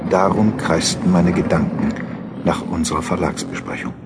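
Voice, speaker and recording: A man playing a serious writer who narrates his thoughts in a radio play, deliberate and reflective. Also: very deep voice, very animated, vocal fry, very noisy, exaggerated voice. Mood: musing. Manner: deliberate, musing. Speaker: narrator in an audio drama.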